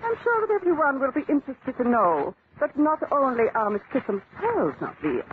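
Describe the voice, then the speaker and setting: Haughty, high-pitched aristocratic lady. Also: wildly swooping pitch, normal speed. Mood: scornful. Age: middle-aged. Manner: imperious, indignant, affectedly genteel. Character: haughty, scheming middle-aged aristocratic lady, imperious hostess and mother. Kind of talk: 1940s radio acting. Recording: tinny, noisy old-time radio recording.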